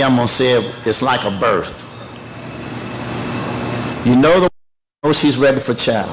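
An older man from Tennessee, giving an emotional, halting speech at the unveiling of his artwork. He often repeats phrases and pauses to collect himself. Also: medium pitch, slight background noise, rough recording. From Tennessee, United States. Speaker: artist unveiling his work.

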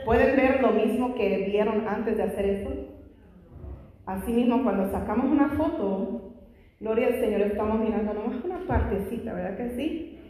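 A woman preaching a Bible study in Spanish, her second language, sprinkling in frequent hallelujahs and praises, fervent in prayer. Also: a little high. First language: not Spanish. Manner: frequent "aleluya" and "gloria a Dios" interjections; fervent prayer, louder and faster. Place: large echoey church hall. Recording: echoey hall with long reverb.

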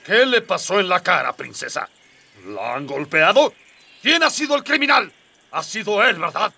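An actor playing a pompous, gruff palace guard colonel in a comic radio soap, barking commands. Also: male voice, sits high, sing-song, normal speed, bright, forceful tone, distant mic, heavily acted. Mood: indignant, suspicious.

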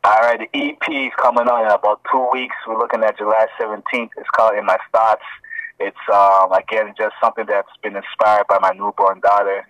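A man's voice: on the low side, fairly bright tone, dry recording. Laid-back man on a distant phone line. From Toronto. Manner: laid-back, friendly, lots of fillers. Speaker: rapper and guest co-host. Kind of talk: casual podcast chat. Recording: distant-sounding phone call.

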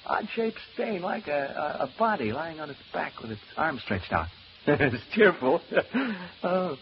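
An actor playing a nervous, rambling radio broadcaster alone in a haunted house, stammering through asides to listeners in an old radio horror play. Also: male voice, a little high, very animated, a little slow. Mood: melancholy, uneasy. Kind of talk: old-time radio horror drama acting. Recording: muffled old radio recording, background hiss.

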